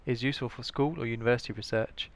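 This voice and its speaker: A man's voice: medium pitch, steady tone, fast talker. A British man giving scripted instructional narration as the presenter of a training tutorial. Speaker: information librarian, tutorial presenter.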